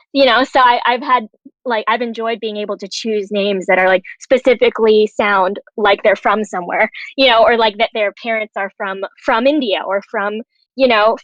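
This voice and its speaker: A woman with a fairly high voice talks casually as a panelist. Her way of speaking is chatty and informal, circling through her thoughts. The recording is dry and a little dull. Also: fairly bright tone, no room sound. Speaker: panelist, television writer. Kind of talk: casual chat.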